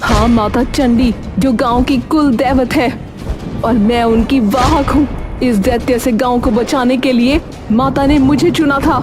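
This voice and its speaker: A woman's voice: sits high, fairly even intonation, measured pace. A woman playing a stern, protective mystic in a cartoon story, solemn and commanding. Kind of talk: Hindi children's cartoon story acting. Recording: music under the voice.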